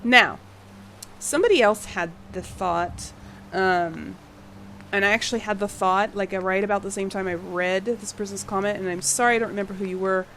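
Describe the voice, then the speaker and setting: A woman hosting a game-building channel, chatting casually while she plays. Her voice is a little husky from a cold she is getting over, and she sounds tired and late-night. She apologizes often and laughs a lot. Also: mid-range voice, normal speed, fairly bright tone, a little hiss or hum, rough recording. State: slightly husky from a fading cold. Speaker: gaming channel host. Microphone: home voice mic.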